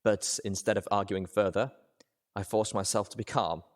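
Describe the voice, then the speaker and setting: A man's voice: on the low side, steady tone, moderate pace. A man reading first-person fiction aloud as a dramatic narrator. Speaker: story reader.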